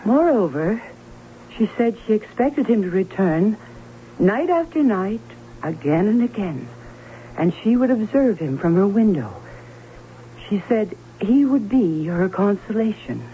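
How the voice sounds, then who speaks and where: An actress playing a distraught Baron's sister in a radio drama, telling of a haunting in earnest, formal, dramatic tones. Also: female voice, mid-range voice, very animated, measured pace. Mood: hushed wonder. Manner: earnest, dramatic, formal old-fashioned storytelling. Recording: tinny narrow-band old radio with hiss and hum.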